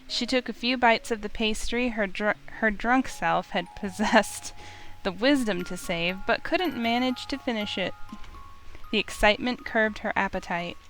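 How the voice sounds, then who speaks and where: A woman's voice: medium pitch, a little slow, low-quality recording. A woman streamer reading a visual novel's narration aloud like a storybook during a playthrough. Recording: loud music under the voice. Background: game music.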